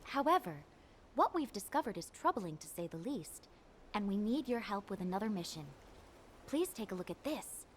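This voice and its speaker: A woman's voice: mid-range voice, big pitch swings, moderate pace, slight background noise, natural voice. A woman voicing an earnest, caring military commander giving formal, composed mission briefings in a video game. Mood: composed, serious.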